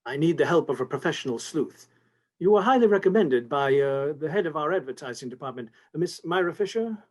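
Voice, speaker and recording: An actor playing a fussy, anxious store executive in a 1950s-style radio play, speaking formally with polite hesitations. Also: male voice, fairly high pitch, animated, brisk, a little mellow. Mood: formal, uneasy.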